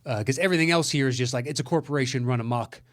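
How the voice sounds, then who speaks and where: A man's voice: medium pitch, rapid-fire. Male podcast co-host, mid-range voice, sardonic, riffs and repeats himself, swears freely in casual conversation. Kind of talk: casual movie chat, loose and profane.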